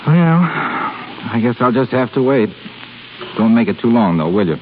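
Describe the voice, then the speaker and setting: A man's voice: medium pitch, very animated, measured pace. An actor playing an eager, lovestruck young businessman, breezy and boyish, in 1930s radio drama style. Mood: lovestruck, pleading. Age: young adult. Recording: muffled, noisy 1930s radio recording.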